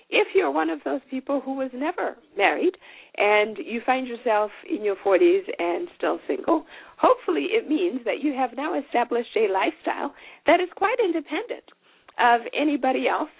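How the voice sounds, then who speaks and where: Woman, 40s, solo podcast host; folksy, encouraging, husky, a little high, recorded dry and tinny. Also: dry recording. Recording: tinny phone-like sound.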